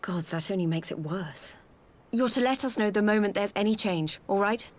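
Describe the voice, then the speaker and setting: A woman's voice: mid-range voice, normal speed, natural voice. A woman playing a loyal, caring, plain-spoken young commander in a video game. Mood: worried. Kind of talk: scripted video game cutscene acting.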